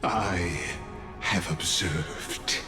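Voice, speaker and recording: An echoing, low male voice over clear music. He is a detached, godlike observer who looks down on mortals. Every pronouncement is grandiose, measured and condescending. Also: fairly even intonation. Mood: solemn, detached. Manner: grandiose, deliberate, pausing pronouncements. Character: cold, condescending godlike observer of a doomed simulated world. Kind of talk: dramatic video game voice acting. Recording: echoey processing with music underneath. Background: loud background music.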